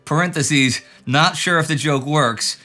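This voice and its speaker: A man's voice: on the high side, measured pace, bright, forceful tone. A man hosting a show, reading out viewer letters and comments with dry, joking asides. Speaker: show host.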